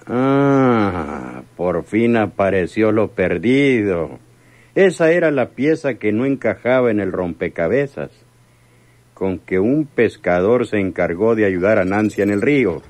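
An actor playing a domineering, impatient crime boss in a radio serial, commanding and sardonic. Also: male voice, on the low side, animated, measured pace, a little mellow. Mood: suspicious, sardonic. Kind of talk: radionovela acting.

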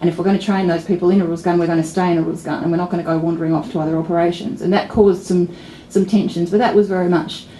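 A woman's voice: lowish voice, fairly even intonation, rapid-fire, few pauses, warm tone. A middle-aged Australian woman, a political journalist giving a talk at a pub event, thoughtful and wry, with many fillers and restarts, speaking into a stand mic. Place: pub function room with audience. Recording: roomy venue, faint ambient noise.

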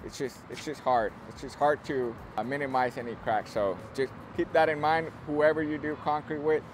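A man's voice: fairly high pitch, steady tone, moderate pace. A man in his thirties presenting an unscripted walkthrough of a building site, explaining informally and often rephrasing himself. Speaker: construction company presenter giving a site tour. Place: outdoors on an open steel-frame construction site. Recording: clear outdoor site and vehicle noise.

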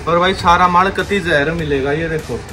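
A young man giving a repetitive, pushy sales pitch for wholesale coats in a big indoor warehouse, filmed on a handheld phone. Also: normal speed, bright, forceful tone, a little hiss or hum. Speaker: wholesale clothing seller. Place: large indoor clothing warehouse.

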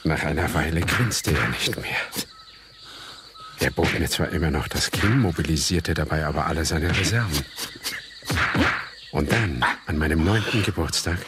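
A man's voice: a bass voice, moderate pace, long pauses, very noisy. An actor playing a reflective grown son, narrating his life in literary style in a German radio play.

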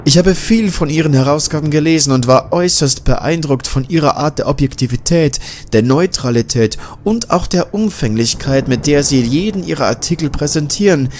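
Guy doing a greying little mad-scientist doc, high and oily polite, laying on the flattery thick. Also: normal speed, few pauses, a little hiss or hum, natural voice. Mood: smooth, flattering. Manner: courteous, flattering. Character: ageing slight male scientist, smooth flatterer.

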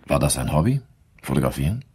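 Very deep, gravelly police inspector. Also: male voice, sing-song, darkish tone, heavily acted. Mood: businesslike, probing. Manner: measured, questioning, matter-of-fact. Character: male police inspector, businesslike, probing, calm. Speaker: actor in an audio drama. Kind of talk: German crime radio play acting.

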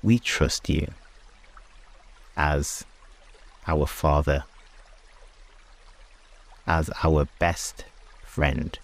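A man hosting a sleep meditation, speaking in short, hushed phrases with long pauses between them, as in guided prayer. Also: very deep voice, level tone, gravelly.

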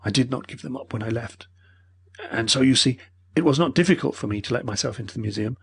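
A man reading an old male professor who speaks in a breathless, earnest, pleading way, with a husky voice. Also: mid-range voice, quick pace. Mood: explaining.

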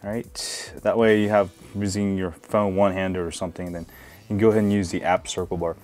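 A man hosting a casual hands-on phone review, relaxed and full of filler words, recorded indoors at a desk. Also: sits low, fairly quick, soft background music. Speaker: tech video host.

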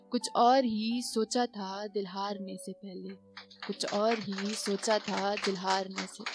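A young woman performing spoken word poetry at a stand mic on a small stage, with dramatic repetitions, rising questions and soft, slow refrains. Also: a little high. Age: young adult.

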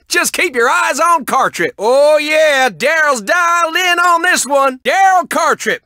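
Excitable high-pitched cartoon race announcer. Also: male voice, dry recording, clean studio sound, full character voice. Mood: excited. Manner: folksy, exclamatory, drawn-out emphasis. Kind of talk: excited sports commentary in a video game.

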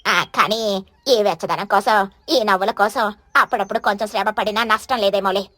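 Woman voicing a grumbling, lowish lazy mother crow. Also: steady tone, moderate pace, sharp tone, no echo, lightly acted. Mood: teasing grumble. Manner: complaining, wheedling.

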